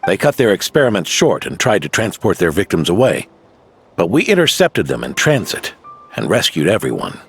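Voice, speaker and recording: An actor playing an aging veteran knight in a game cutscene, gentle and reflective, given to sighs. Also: male voice, low-pitched, wildly swooping pitch, normal speed, creaky, faint music behind, heavily acted. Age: older. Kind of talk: video game cutscene dialogue.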